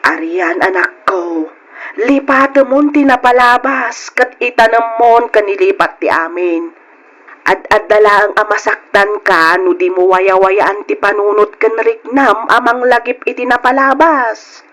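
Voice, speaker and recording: A woman playing a worried, gentle mother in an Ilocano radio drama, earnestly admonishing and pleading. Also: mid-range voice, a little slow, close to an everyday voice. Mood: earnest, consoling.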